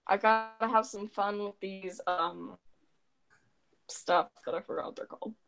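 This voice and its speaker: A young woman talking casually on a live stream through her phone, in a flat monotone. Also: mid-range voice, unhurried, gravelly, over music, poor audio. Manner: flat, self-described monotone, mumbling. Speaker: guest. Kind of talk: casual chat. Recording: dry phone audio.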